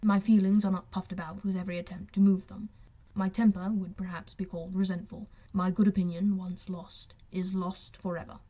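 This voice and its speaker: Woman as a proud, reserved gentleman. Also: medium pitch, flat delivery, close to an everyday voice. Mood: proud, restrained. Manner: measured, formal, restrained. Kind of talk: audiobook character voice.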